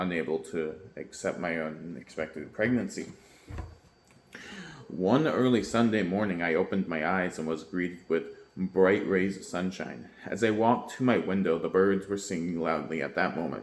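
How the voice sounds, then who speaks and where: A man with a very low voice reads a book aloud at a steady pace. He sometimes trips over a word and backs up to fix it. The sound bounces around a bare room. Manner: steady read-aloud, stumbling and correcting himself mid-line. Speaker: YouTuber reading a book aloud. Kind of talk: reading a book aloud, then casual commentary. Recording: echoey room.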